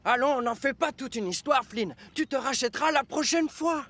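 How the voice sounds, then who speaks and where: A man voicing a brisk, bossy rescue vehicle in a French cartoon dub, emphatic and no-nonsense. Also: extremely high pitch, fairly bright tone. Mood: brisk, consoling.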